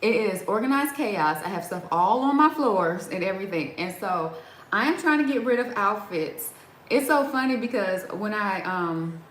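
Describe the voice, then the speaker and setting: A woman's voice: mid-range voice, a bit bright. Chatty woman on a roomy livestream. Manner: chatty, laughs often, trails off and restarts. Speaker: livestream host. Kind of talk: casual live chat reading viewer comments. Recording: roomy echo with faint background ambience.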